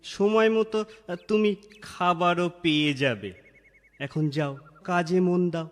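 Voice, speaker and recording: Bossy cartoon merchant played by a man: highish voice, drawn-out exclaiming, long hall reverb with music beneath. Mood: stern, coaxing. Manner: drawn-out, prodding, questioning, exclaiming. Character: bossy merchant father setting a young woman tasks. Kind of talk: Bengali cartoon fairy-tale acting.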